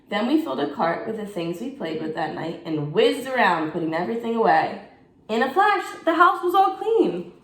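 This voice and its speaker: A woman, a teacher, expressively reading a bedtime story aloud to children and pausing to ask her young listeners questions. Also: medium pitch. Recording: echoey room.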